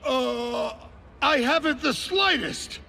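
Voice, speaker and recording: An actor playing a bemused co-commentator in an anime dub, musing without hurry over the match. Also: male voice, extremely high pitch, animated, slow pace, creaky, noisy background, heavily acted. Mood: puzzled.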